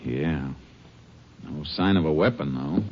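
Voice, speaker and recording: An actor playing a calm Texas Ranger in a 1950s radio drama, quiet and businesslike. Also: male voice, rumbling low, takes it slowly, heavily acted. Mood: grave. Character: calm, low-voiced male Texas Ranger. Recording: muffled 1950s radio recording with light hiss.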